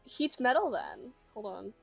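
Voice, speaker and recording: A woman's voice: mid-range voice, huge pitch swings, measured pace, vocal fry, breathy edge, no room sound, soft background music. A woman chatting casually as a player in a tabletop role-playing game. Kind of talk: casual gaming chat.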